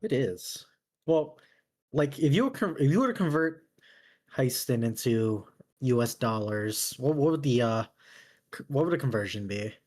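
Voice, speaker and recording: Laid-back man chatting, mid-pitched voice. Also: animated, normal speed, a little mellow, a little hiss or hum. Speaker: player creating a tabletop game character. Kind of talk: casual chat.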